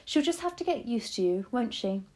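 Woman playing a brisk, commanding old grandmother. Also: a little high, sing-song, dark tone, voice pushed up. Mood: brisk, wry. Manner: firm, matter-of-fact.

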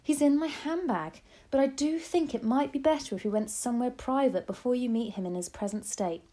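A woman's voice: fairly high pitch, brisk, mellow, pitched above the performer's own voice, lightly acted. Woman playing an unflappable, firm old grandmother. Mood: patient, insistent. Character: calm, unflappable, plain-spoken old grandmother.

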